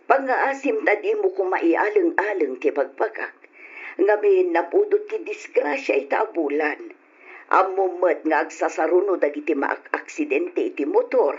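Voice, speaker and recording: A woman playing an anxious, devoted mother of a grown son in an Ilocano radio drama, with an Ilocano accent and a pleading manner. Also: fairly low pitch. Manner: slow, pleading, worried. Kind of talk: old-style Ilocano radio drama acting. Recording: muffled, noisy radio drama recording.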